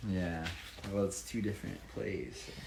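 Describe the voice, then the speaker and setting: A man's voice: sits low, fairly even intonation, moderate pace, vocal fry. A man, a children's book author, reading a rhyming picture book aloud with dramatic emphasis and informal asides. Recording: echoey room, faint background noise.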